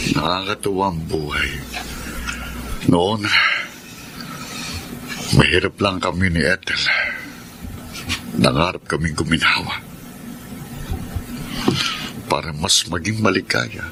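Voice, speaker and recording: Deep-voiced Filipino troubled, emotional husband. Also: male voice, slow, long pauses, background noise. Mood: bitter regret. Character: regretful, hot-tempered husband and father, wronged and guilt-ridden. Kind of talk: Filipino radio drama acting.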